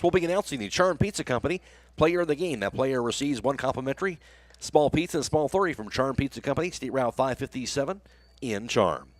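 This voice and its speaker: A man's voice: medium pitch, no echo. An American man doing live radio play-by-play of a baseball game as the announcer. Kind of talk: live sports commentary.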